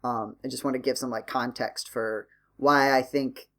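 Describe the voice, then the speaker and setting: A man's voice: mid-range voice, fairly even intonation, moderate pace, fairly bright tone. A man hosting a podcast, talking casually and working through his points with hedges and self-corrections. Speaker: podcast host.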